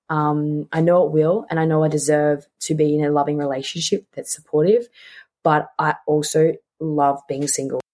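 A woman's voice: deep voice, dark tone. A woman in her twenties with an Australian accent, chatting casually and candidly as a solo podcast host, often repeating words for emphasis. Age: 20s.

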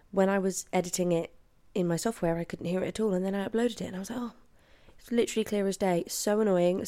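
Hoarse, gravelly woman chatting. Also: mid-range voice, dark tone. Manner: chatty and apologetic, with frequent laughs. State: hoarse from a cold. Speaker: podcast host. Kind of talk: casual solo chat. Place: flat at home, late at night. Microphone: headphones and podcast mic.

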